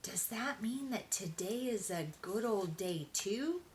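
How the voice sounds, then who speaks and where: A woman voicing an excitable, curious little girl kitten in a storybook, in a childlike, pleading manner. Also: medium pitch, slow, a little hiss or hum.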